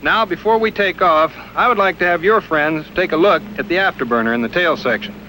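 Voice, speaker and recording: A man's voice: fairly high pitch, brisk, pushed and bright, slight background noise, rough recording. A young American man, a military pilot, speaking politely and earnestly in a rehearsed on-camera interview. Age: young adult.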